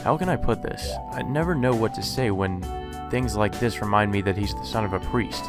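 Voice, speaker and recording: A man's voice: on the low side, fairly quick. A man reading a visual novel aloud as a let's player, narrating the text and sometimes correcting himself as he goes. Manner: stumbles over lines and corrects himself. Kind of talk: read-aloud narration and dialogue. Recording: background music under the voice. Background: game music.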